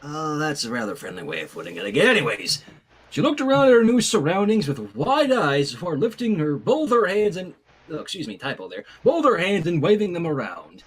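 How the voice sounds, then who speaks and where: A male host reads a fan story aloud with playful, chatty asides. His voice is high and slightly husky. The delivery swings very widely in pitch. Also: huge pitch swings, fairly quick. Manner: playful, self-interrupting. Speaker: host, fan fiction reader.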